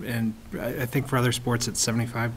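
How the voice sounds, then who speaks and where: Sex: man. Role: board member. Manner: casual, tentative. Pitch: medium. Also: little pitch movement, quick pace, slight background noise. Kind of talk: making a motion at a meeting.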